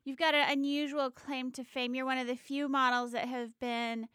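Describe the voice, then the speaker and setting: A woman's voice: high voice, flat delivery, moderate pace, pushed and bright, clean tone, dry recording, studio quality. A woman hosting a casual interview in a chatty, teasing way. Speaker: host.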